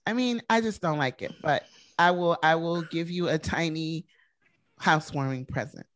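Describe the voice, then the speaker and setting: A woman's voice: low-pitched, normal speed. A woman co-hosting a comedy podcast, crass and often laughing through her words. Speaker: podcast co-host. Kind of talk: casual comedic chat.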